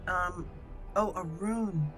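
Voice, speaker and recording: A woman's voice: on the low side, drawn-out words, music in the background. A woman hosting a casual game walkthrough, thinking aloud in short fragments as she plays. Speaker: gaming channel host.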